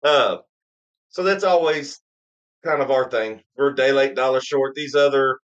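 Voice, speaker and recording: A man's voice: medium pitch, normal speed, a bit bright, dull audio. A man hosting a bourbon tasting live stream, chatting eagerly and rambling through his stories. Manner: enthusiastic, rambling storyteller. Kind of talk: casual live-stream banter.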